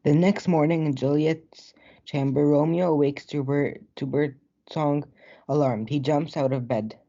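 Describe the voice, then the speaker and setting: A woman's voice: low-pitched, steady tone, measured pace, mellow. A woman reading scripted narration for a student readers theatre. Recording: muffled lo-fi home recording.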